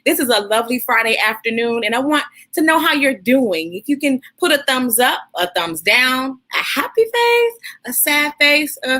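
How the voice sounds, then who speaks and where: A woman hosting a children's read-aloud, speaking in an animated, encouraging way straight to her young listeners. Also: sits high, moderate pace.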